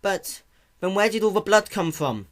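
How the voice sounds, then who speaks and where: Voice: medium pitch, animated, normal speed, pitched above the performer's own voice, natural voice. A performer voicing a young boy baby dragon in a cartoon-based story reading, anxious, protective and pleading, with a stammer. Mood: distressed.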